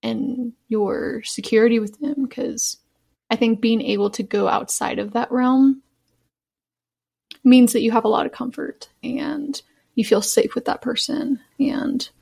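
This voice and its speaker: Creaky-voiced woman, casual and reflective. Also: a little high, fairly even intonation, unhurried, a little mellow. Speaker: podcast guest.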